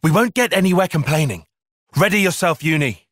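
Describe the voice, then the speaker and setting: Young soldier brainy type, formal n precise, kinda husky, expressive pitch, game cutscene acting. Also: male voice, fairly quick, no echo, studio quality. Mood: urgent, exasperated. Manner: precise, formal, bookish phrasing. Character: analytical, earnest young male soldier and tactician. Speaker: video game voice actor.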